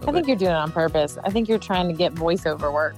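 A woman calls in by phone to co-host a podcast, teasing and bantering in a playful way. Her voice sits at a middle pitch, and faint music plays behind her. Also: expressive, fast. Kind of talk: casual chat.

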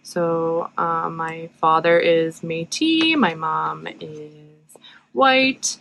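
A woman's voice: deep voice, very slow, gravelly, fairly bright tone, low-quality recording. A woman with a Canadian accent telling personal stories casually, hesitating often as she goes.

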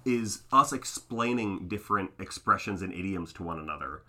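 A young man from Oakland with a Californian accent, co-hosting a podcast in a relaxed, teasing way with lots of ums. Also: sits low, normal speed. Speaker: podcast co-host. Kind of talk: casual chat.

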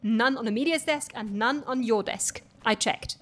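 Actress as dry fed-up office woman, high voice, deadpan comedy drama. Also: normal speed, close to an everyday voice. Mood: weary, reluctant. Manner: deadpan, hesitant, incredulous. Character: dry, exasperated early-rising female office colleague. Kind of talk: comedy audio drama acting.

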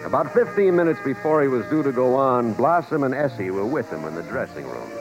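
Animated loyal showbiz pal, old radio. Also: male voice, mid-range voice, brisk, dull audio. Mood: recounting. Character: brisk, loyal male showbiz pal narrating events. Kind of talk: 1950s radio drama narration and dialogue. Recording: 1950s radio broadcast with music.

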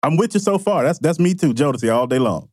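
A man's voice: fairly high pitch, animated, quick pace, a little mellow. A man co-hosting a podcast, joking and teasing his guest in casual talk. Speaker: podcast co-host.

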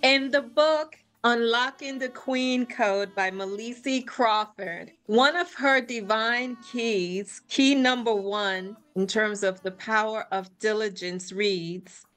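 A woman's voice: a little high, slow, a bit bright. A woman from Brooklyn hosting a faith-based podcast conversation, affirming her guest as she talks. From Brooklyn, New York. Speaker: podcast host.

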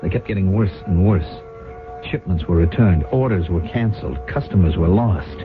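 A man's voice: fairly low pitch, close to an everyday voice. An actor playing a glib, scheming, defensive businessman husband in a theatrical 1970s radio drama style. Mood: rueful, confiding. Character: smooth-talking, scheming husband running a failing company. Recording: tinny, noisy old radio broadcast.

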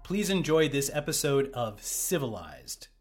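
A man's voice: mid-range voice, sing-song, unhurried, faint music behind. A middle-aged man co-hosting a podcast introduction in a conversational style. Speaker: podcast co-host, actor.

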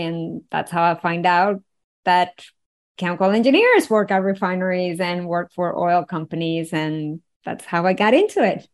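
A middle-aged woman from Venezuela with a Venezuelan accent, a podcast guest telling her story in an animated, playful way with frequent laughs. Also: fairly low pitch. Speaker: guest, wellness engineer. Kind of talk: conversational storytelling.

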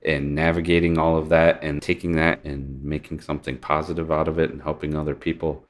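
A man co-hosting a podcast, talking in a relaxed, approving way. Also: rumbling low, fairly quick. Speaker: podcast co-host, doctor. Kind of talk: podcast chat.

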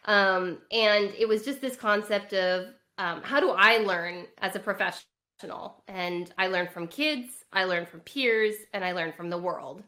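A woman's voice: mid-range voice, normal speed, bright, forceful tone. A woman with a Californian American accent answering conversationally as an interview guest, earnest and reflective. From California, United States. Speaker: educator and author, podcast guest.

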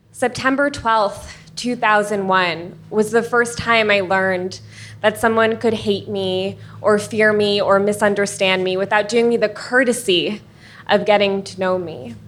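A woman in her late twenties to thirties from the United States, telling a personal story on stage in an earnest, measured way with wry asides. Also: medium pitch, fairly bright tone, a little hiss or hum.

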